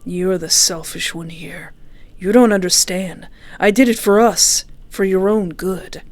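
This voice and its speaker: Menacing, hushed vengeful ex-boyfriend voiced by a woman. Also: medium pitch, measured pace, natural voice. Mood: cold, accusing. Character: menacing, obsessed, vengeful disfigured ex-boyfriend, controlling and cold.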